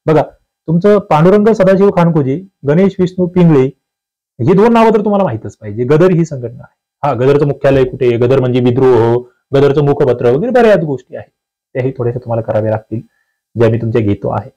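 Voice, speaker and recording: A man's voice: mid-range voice, animated, fast, dark tone, highs cut off. A man teaching an exam quiz lesson, reading questions aloud and explaining answers conversationally, often putting questions to students.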